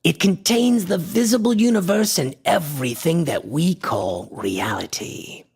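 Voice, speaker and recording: An actor playing an obsessed, imperious antiquarian in a radio horror drama, breathy and husky with grandiose, formal delivery that often sinks toward a whisper. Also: male voice, on the high side, expressive, darkish tone, full character voice. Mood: reverent, grandiose. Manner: grandiose, deliberate, formal diction. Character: obsessed, imperious male antiquarian experimenting with a mystical drug. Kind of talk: theatrical radio horror acting.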